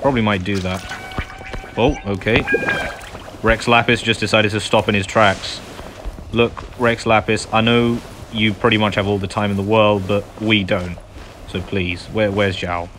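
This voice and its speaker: A man's voice: fairly low pitch, little pitch movement, a little slow, noisy background. A man hosting a gaming video with casual commentary, often muttering asides to himself. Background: game music.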